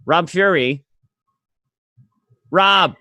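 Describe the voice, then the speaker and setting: A man's voice: on the high side, extremely slow, sharp tone, no room sound, studio quality. A man hosting a casual interview over a video call, effusive and full of compliments for his guests. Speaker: interview show host. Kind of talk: casual remote cast interview.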